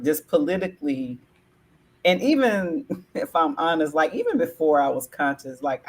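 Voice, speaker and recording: A woman in her forties from Alabama, hosting a casual discussion on a video call, animated and full of "like" fillers. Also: sits low, slightly rough, low-quality recording. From Alabama, American South.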